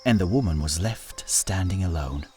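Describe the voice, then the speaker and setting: A man narrating a scripted folktale as a storyteller, in a measured, solemn manner with deliberate phrasing. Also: deep voice, lively intonation. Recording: studio narration over music. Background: music.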